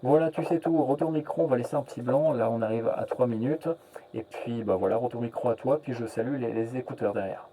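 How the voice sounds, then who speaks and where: A man chatting casually as a radio operator, halting and often repeating his words. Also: medium pitch, mellow, low-quality recording. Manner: hesitant, repeats words, trailing "hein" and "voilà". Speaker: amateur radio operator, relay network host. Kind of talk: casual radio contact chat.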